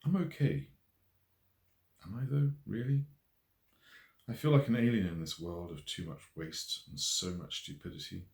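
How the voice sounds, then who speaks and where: A man's voice: deep voice, moderate pace, creaky, long pauses, a little mellow. Man reading as an introspective, self-doubting woman, echoey room. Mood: pensive, doubting. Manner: musing, questioning herself. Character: introspective, self-doubting single woman, fond of her cat. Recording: roomy echo.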